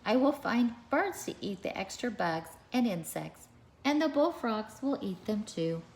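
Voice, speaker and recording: A woman's voice: medium pitch, sing-song, unhurried, a little hiss or hum, pitched above the performer's own voice, rough recording. A woman voicing an eager, imaginative, earnest little boy in a storybook reading. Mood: eager, dreamy. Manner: earnest, enthusiastic.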